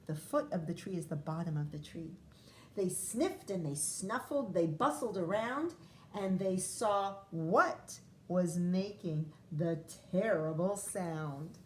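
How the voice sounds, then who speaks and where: A woman teacher reading a picture book aloud to young children, pausing to ask questions and explain words. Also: fairly low pitch, expressive, slight background noise. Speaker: first grade teacher. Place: classroom or home, recorded for video.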